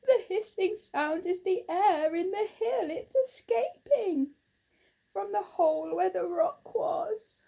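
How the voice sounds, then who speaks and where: Woman acting out a fretful housewife, high and plaintive. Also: lively intonation, slow, vocal fry, dark tone, exaggerated voice. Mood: tearful, upset. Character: fretful, complaining housewife, prone to tearful wailing.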